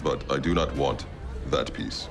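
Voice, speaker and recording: A stern alien officer from a TV sci-fi comedy, with an extremely low voice. The speech is stiff, formal and utterly deadpan. Loud music plays behind it. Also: male voice, measured pace. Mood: solemn, deadpan.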